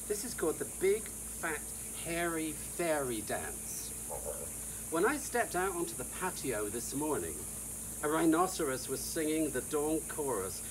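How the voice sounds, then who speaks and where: Older man reading stories over outdoor hiss. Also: a little high. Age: 60s or older. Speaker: storyteller reading his story aloud. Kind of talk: whimsical storybook reading. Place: outdoors in a sunny garden. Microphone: camera a few feet away. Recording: outdoor hiss with insect noise.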